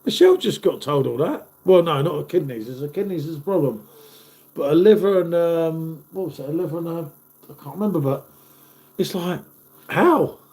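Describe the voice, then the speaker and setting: A man's voice: a little high, expressive, moderate pace, a little mellow. A man in his fifties, hosting a casual live stream and chatting matily with viewers while stuffed up from a cold. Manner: chatty, matey, calls viewers "mate".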